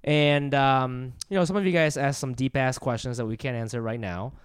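A man's voice: medium pitch, moderate pace, clean tone, no echo, clean studio sound. A man co-hosting a podcast in casual, joking chat, laughing often. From Japan. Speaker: podcast co-host.

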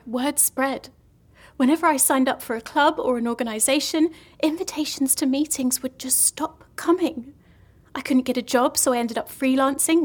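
A woman's voice: high voice, close to an everyday voice. An actress playing a lonely, gloomy young woman in an audio drama comedy, telling morbid stories earnestly and hesitantly.

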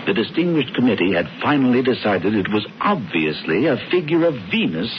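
A dull, noisy old radio recording of a man acting a brisk fellow in a radio play. He is smugly amused and grandly declamatory, with a voice that swings widely in pitch. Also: very animated, natural voice. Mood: grand, declaiming. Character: brisk, theatrical American man bossing his brother, smugly amused. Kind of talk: 1970s radio drama acting.

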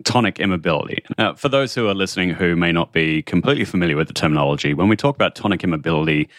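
A man's voice: low-pitched, animated, racing, a bit bright, no echo, studio quality. A man hosting a podcast as a marine biologist, reading an upbeat intro and then explaining at length.

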